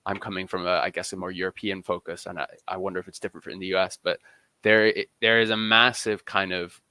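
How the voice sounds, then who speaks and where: A man's voice: low voice, little pitch movement, fast, pushed and bright, clear, resonant tone, dry recording. A man speaking as an interview guest, explaining things in a casual, conversational way with frequent "kind of" and "you know". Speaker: interview guest, football journalist.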